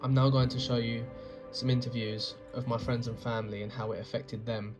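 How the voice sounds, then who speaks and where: A man's voice: medium pitch, flat delivery, a little fast. A man reading earnest narration for his own short film about a hard year. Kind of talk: read narration. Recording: music bed under the voice.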